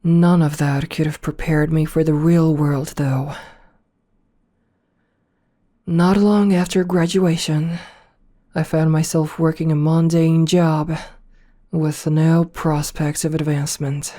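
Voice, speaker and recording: A woman performs a seductive, self-assured female CEO with a possessive streak in an ASMR audio drama. Her voice sits low and soft-edged, dark in tone. She unspools her story very slowly and deliberately, like someone used to being obeyed. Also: level tone. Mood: wistful, self-assured. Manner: slow, deliberate first-person storytelling. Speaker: voice actor.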